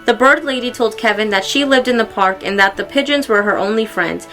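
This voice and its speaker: Female storybook reader, bright and clear-cut, expressive delivery for young listeners, soft music underneath. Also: fairly high pitch, fairly quick. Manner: expressive, acting out exclamations and dialogue. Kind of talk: read-aloud children's story narration.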